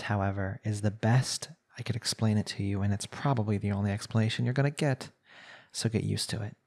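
Man voicing a bratty male villain, slow, creaky, condescending, seductive, intimate ASMR roleplay. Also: on the low side, darkish tone. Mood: menacing, possessive. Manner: teasing, condescending, unhurried pet-name sweet talk.

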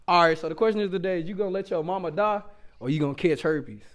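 A young man doing a casual, hyped street interview, loud and slangy, on a handheld mic in a small room. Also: high-pitched, quick pace. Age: young adult. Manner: loud hype delivery, slangy. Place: small indoor school room.

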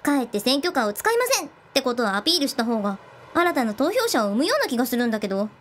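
Actress as earnest young woman, admonishing manner, lively swooping intonation, radio drama, faint background noise. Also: high-pitched, racing. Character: earnest, scolding young woman, a first-time voter.

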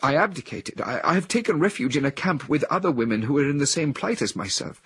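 A man's voice: on the high side, animated, fast, fairly bright tone, slightly rough, no echo, voice pushed up, full character voice. A man voicing a frightened woman speaking in anguish under hypnosis, in an audiobook reading. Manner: anguished, sighing.

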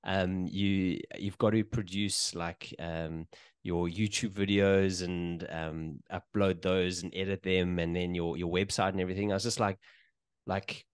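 A man's voice: low-pitched, little pitch movement, a little slow, clear, resonant tone, no echo. A man in his forties hosting a casual video interview from a small home room, hesitant and full of fillers and restarts. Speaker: podcast host. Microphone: studio mic on desk, headphones.

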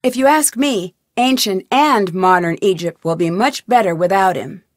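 A woman's voice: mid-range voice, normal speed, no room sound, studio quality, lightly acted. An actress voicing a wry young woman detective in scripted game narration. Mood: wrapping up.

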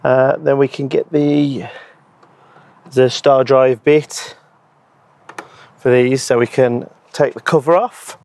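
A man in his thirties with a hoarse voice, relaxed and explanatory, demonstrating an installation outdoors in a garden on a lapel mic. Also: mid-range voice, slow pace, long pauses, no room sound. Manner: relaxed, explanatory, with frequent ums and so's. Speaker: EV charger installer and channel host. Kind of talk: hands-on installation demo. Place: outdoor garden beside a wooden shed.

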